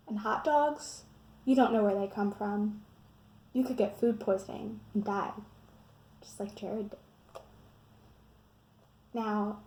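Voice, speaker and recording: A woman's voice: medium pitch, warm tone. A woman giving a scripted book talk and reading a picture book aloud. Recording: roomy echo, faint background noise.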